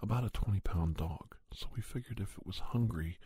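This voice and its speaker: Guy acting as a monster investigator doing asmr storytelling, soft deep mellow voice, hushed and halting, close dry sound. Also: husky, no room sound. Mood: calm, hushed, matter-of-fact. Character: male paranormal investigator doctor recounting monster cases, unhurried. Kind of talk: ASMR storytelling, soft-spoken first-person narration.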